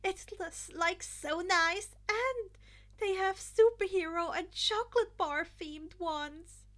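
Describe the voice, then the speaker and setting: A woman's voice: very high voice, slow, a bit bright, pitched above the performer's own voice, full character voice. A woman voicing a bubbly, playful teenage girl who exclaims with great enthusiasm in a story reading. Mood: delighted.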